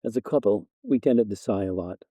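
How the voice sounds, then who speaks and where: Guy reading a short story, really mellow dark voice, kinda wry and measured, super dry room. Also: fairly low pitch, dry recording. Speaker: narrator. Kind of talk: scripted short story narration, first person.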